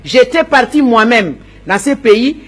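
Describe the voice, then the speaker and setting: A woman's voice: fairly high pitch, animated, a little slow. A woman, an opposition politician answering interview questions emphatically, repeating herself and stammering while she searches for words. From Democratic Republic of the Congo.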